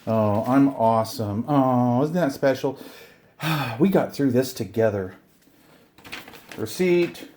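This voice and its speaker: A middle-aged or older man chatting casually to viewers while unboxing, excited and rambling, often talking to himself. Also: mid-range voice, takes it slowly, long pauses, a little hiss or hum. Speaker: hobbyist video host. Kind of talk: casual unboxing chat to camera.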